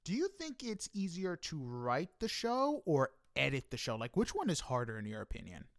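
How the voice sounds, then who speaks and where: A man's voice: on the high side, wildly swooping pitch, moderate pace, dry recording, clean studio sound. A man hosting a casual interview, agreeable and a little hesitant in how he talks. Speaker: interview host.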